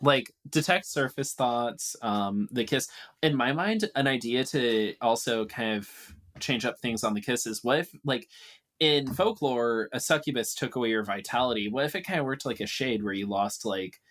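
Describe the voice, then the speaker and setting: A man with a mid-pitched voice chats casually as he brainstorms. He thinks aloud, feeling his way through ideas with a loose, halting delivery. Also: fairly bright tone.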